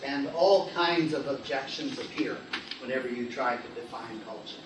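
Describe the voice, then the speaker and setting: A middle-aged man giving a measured conference research talk in a lecture room, pausing often. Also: mid-range voice, background noise. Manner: measured, many "uh" pauses. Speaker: academic presenter. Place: lecture room with projector screen. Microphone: far camera. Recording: echoey room from far off.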